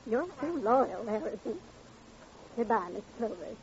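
An American actress playing a domineering, nagging wife with an insistent, scornful manner in an old-time radio crime drama. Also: female voice, fairly high pitch, expressive, measured pace. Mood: defiant. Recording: muffled, noisy vintage radio recording.

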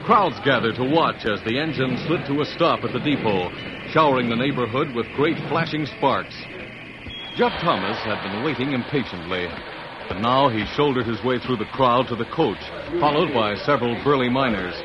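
A man's voice: medium pitch, animated, moderate pace, noisy background, close to an everyday voice. A man narrating an old-time radio western in a formal, dramatic, scripted style. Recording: dull old radio recording, music behind.

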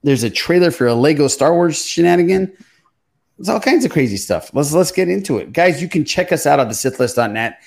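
A man's voice: on the high side, big pitch swings, fairly quick, creaky. Casual man hosting a podcast. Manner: informal, swearing. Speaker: podcast host. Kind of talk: casual pop culture podcast chat.

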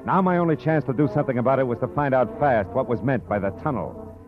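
A man's voice: medium pitch, expressive, fast talker, close to an everyday voice. A man playing a wisecracking hard-boiled private eye. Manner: dry sarcastic wisecracks. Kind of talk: 1950s radio drama narration and acting. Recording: muffled old radio broadcast with music.

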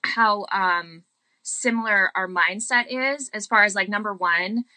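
A woman with a bright, crisp-edged voice, recorded close and dry. She talks casually and reflectively about faith, explaining her points thoughtfully and leaning on key words for emphasis. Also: medium pitch, unhurried, no room sound.

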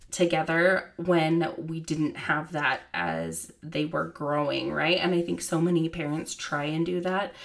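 A woman in her thirties hosting her own podcast as a child therapist, chatting casually with lots of "like" and "you know", a little hoarse from a cold. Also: sits low. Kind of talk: casual solo podcast talk.

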